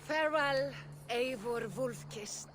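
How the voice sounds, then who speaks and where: An actress playing a gentle, reverent Norse seeress in a game cutscene, speaking in a hushed, earnest and deliberate way. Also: female voice, fairly low pitch, noisy background. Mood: tender. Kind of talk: dramatic game cutscene acting. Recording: music behind.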